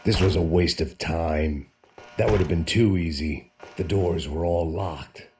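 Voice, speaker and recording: A weary, self-loathing American antihero narrating a noir crime game. His voice is extremely deep, with a deadpan, brooding delivery and faint noise behind it. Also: measured pace. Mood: tense, dry. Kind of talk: scripted noir voice-over narration and game dialogue.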